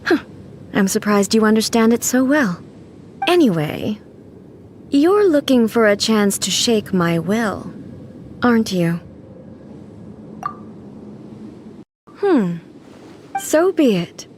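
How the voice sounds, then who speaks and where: An actress playing a solemn, imperious female god ruler in a video game, speaking in a measured, formal and grave way. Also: a little high, sing-song, a little mellow, a little hiss or hum. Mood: cool, challenging. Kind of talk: dramatic video game voice acting.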